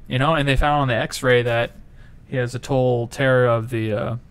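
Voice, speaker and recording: A man's voice: medium pitch, steady tone, moderate pace, clean tone. A man in casual podcast chat on a couch, talking loosely and bluntly with casual swearing into a handheld mic. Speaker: podcast co-host. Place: living room couch. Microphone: handheld mic close to mouth.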